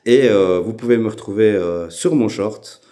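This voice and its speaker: A Belgian man with a Belgian French accent, hosting a casual vlog and talking with many hesitant filler pauses. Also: on the low side, warm tone. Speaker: YouTube channel host.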